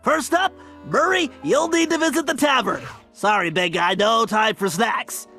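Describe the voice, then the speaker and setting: An actor playing a nerdy, earnest cartoon turtle mastermind in a video game, nasal and anxious, with precise, wordy delivery. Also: male voice, very high-pitched, measured pace, sharp tone, faint music behind, heavily acted. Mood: earnest, commanding. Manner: precise, wordy, fussy briefing.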